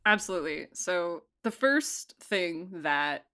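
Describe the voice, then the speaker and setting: A woman producer and director talking casually about her audio drama, chatty and quick to laugh, with lots of "like" fillers. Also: fairly low pitch, animated, sharp tone, smooth. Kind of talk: casual interview answers.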